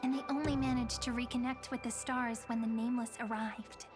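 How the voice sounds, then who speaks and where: An actress voicing a friendly young female guide in a video game, explaining things in a gentle, polite way. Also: a little high, little pitch movement, fairly quick, over music, natural voice. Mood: earnest. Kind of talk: video game drama acting.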